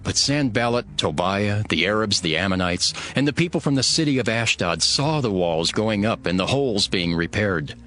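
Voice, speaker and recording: A man's voice: fairly low pitch, lively intonation, normal speed, few pauses, a bit bright, a little hiss or hum. A man playing a resolute, devout leader narrating dramatized scripture in an earnest, declamatory way. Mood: measured, resolute. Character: Nehemiah, resolute devout male leader rallying his people.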